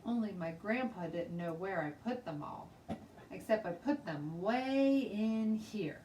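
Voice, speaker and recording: Woman acting a chatty little girl, storybook character, stubborn, emphatic, animated pitch, roomy distant recording. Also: unhurried, lightly acted. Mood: eager, boastful. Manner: childish ungrammatical speech, emphatic, insistent. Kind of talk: children's storybook read-aloud. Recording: roomy, distant mic, faint noise.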